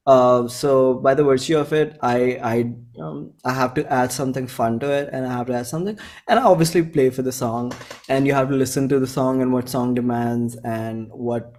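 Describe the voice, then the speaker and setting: A man in his twenties speaks with an Indian accent at a medium pitch. He talks like a musician in a relaxed interview, informal and rambling. He often repeats himself and slips into bits of quoted dialogue. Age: 20s. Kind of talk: casual conversational interview.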